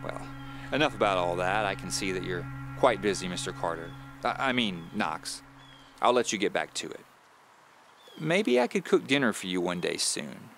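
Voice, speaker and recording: A man's voice: low voice, big pitch swings, moderate pace, creaky, fairly bright tone, soft background music, full character voice. A man voicing a kindly, grandmotherly widow with a folksy, teasing, chatty manner in an audio drama.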